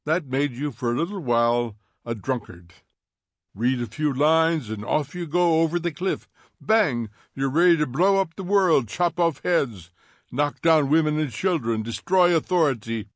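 A man voicing a smug, needling fire captain who mocks in quick theatrical bursts, in an audiobook reading. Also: fairly high pitch, lively intonation, dry recording, clean studio sound, pitched above the performer's own voice. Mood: mocking. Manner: taunting, theatrical, fast mocking bursts.

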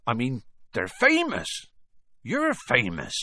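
A man voicing a huge, gruff giant in an audiobook, hot-tempered yet kindly, with rustic dialect and a booming delivery when angered. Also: wildly swooping pitch, slow, bright, forceful tone, no echo, studio quality, full character voice. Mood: shocked, exasperated.

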